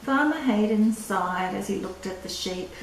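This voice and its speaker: An older woman reading a picture book aloud to children, deliberate and drawn-out, with little asides. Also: mid-range voice, expressive, vocal fry. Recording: echoey room, distant mic, faint noise.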